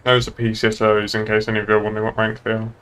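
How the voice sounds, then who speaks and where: A man's voice: lowish voice, monotone, racing, a bit bright, smooth. A young British man chatting casually and laddishly on a gaming livestream. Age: young adult. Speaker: game streamer. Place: indoor room at a streaming desk.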